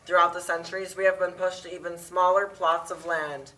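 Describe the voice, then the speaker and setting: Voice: medium pitch, flat delivery, fairly quick, pushed and bright, lightly acted, low-quality recording. A performer voicing a weary, struggling small corn farmer in a puppet show, earnest and plaintive.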